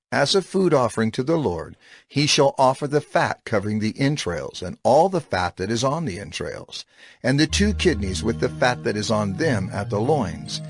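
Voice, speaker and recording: A man narrating scripture as a scripted audio drama, solemn and measured, with deliberate emphasis. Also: mid-range voice. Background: music.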